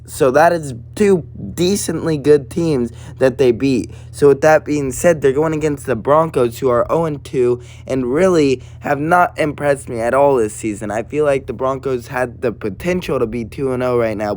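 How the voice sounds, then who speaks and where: A man with a raspy, slightly hoarse voice, hosting casual sports prediction commentary in a matter-of-fact way. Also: medium pitch, darkish tone. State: hoarse from partly lost voice. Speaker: sports prediction video host.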